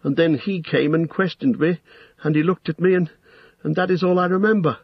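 A man voicing a frightened, pleading young male hobbit in a storybook reading, with a shrill, stammering voice. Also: big pitch swings, a little slow, slightly rough, no room sound, dull audio, exaggerated voice. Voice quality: shrill, strained. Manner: stammering, hesitant.

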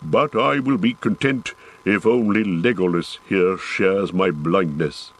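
A man doing a gruff, stubborn dwarf. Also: deep voice, takes it slowly. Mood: gruffly joking. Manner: gruff and defiant. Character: proud, stubborn male dwarf warrior. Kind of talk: audiobook character voice.

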